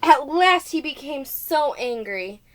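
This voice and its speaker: Slow, higher-voiced woman reading to children. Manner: expressive, deliberate, stressing key words. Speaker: preschool teacher reading aloud. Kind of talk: children's storybook read-aloud with asides.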